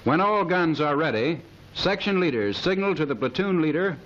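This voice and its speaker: A man's voice: on the high side, lively intonation, normal speed, fairly bright tone. A man reading scripted military instructional narration in a formal, precise manner, in the style of a 1930s training film. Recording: dull, hissy 1930s film soundtrack.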